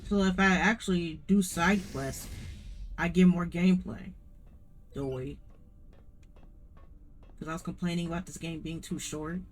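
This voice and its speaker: A man's voice: fairly high pitch, fairly even intonation, a little slow, pauses often. A man giving casual live commentary as a game streamer. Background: game music.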